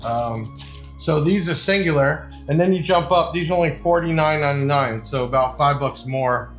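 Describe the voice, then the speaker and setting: A man's voice: a little high, normal speed. Man reviewing gear in an echoey room. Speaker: product reviewer. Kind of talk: casual unboxing review. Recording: echoey room, faint music behind.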